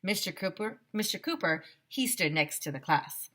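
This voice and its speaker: A woman reads a children's book aloud as a teacher would for a class. Her voice is bright and very lively in pitch, with an expressive storytelling delivery. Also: normal speed. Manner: animated, with sound effects.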